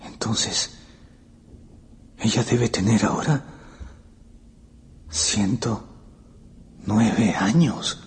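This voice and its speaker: Low-voiced, anxious young lover. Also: male voice, drawn-out words, long pauses. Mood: brooding, astonished. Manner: hesitant, brooding, intense. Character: anxious, lovestruck young male historian, increasingly horrified. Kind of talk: Spanish-language radio drama acting. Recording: radio play with faint music bed.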